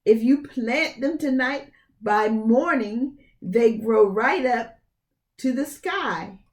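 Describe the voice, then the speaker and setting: Woman doing a slow, sly old storybook man. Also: on the high side, expressive. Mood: coaxing. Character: sly, persuasive old man trading in a fairy tale.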